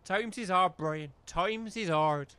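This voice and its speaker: Man doing a high-voiced, wheedling village chancer. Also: takes it slowly, pushed and bright, clear, resonant tone, full character voice. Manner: rustic dialect, cajoling. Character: wheedling, chancer village labourer, rustic and chummy. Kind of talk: comedy audio drama.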